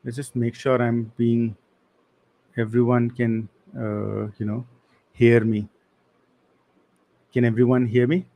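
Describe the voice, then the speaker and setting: A man's voice: medium pitch, little pitch movement, takes it slowly, long pauses, warm tone. A young man teaching a live online coding class, casual and apologetic, answering the chat with slang.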